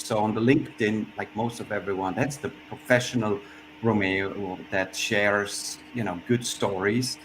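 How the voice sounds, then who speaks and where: A man from Switzerland speaking as a panelist in an online discussion, reflective and pausing often as he restarts his sentences. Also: fairly low pitch. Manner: reflective, with frequent pauses and restarts. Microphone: video call. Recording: noisy video-call audio.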